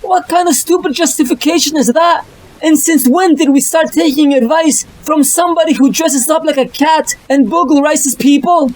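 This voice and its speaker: A performer voicing a sharp-tongued, protective young woman in a fan dub of a motion comic. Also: sits high, moderate pace, voice pushed up, natural voice, poor audio. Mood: scornful. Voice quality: sharp, pleading.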